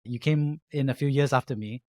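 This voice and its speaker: A man's voice: mid-range voice, fairly quick, dry recording, studio quality. A man hosting a podcast interview, asking upbeat, conversational questions. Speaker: podcast host. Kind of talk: podcast interview and scripted intro.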